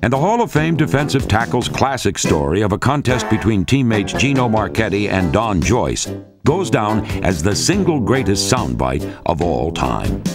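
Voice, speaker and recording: Low-voiced male sports film narrator over music. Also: big pitch swings, normal speed. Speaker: documentary narrator. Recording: music bed under narration.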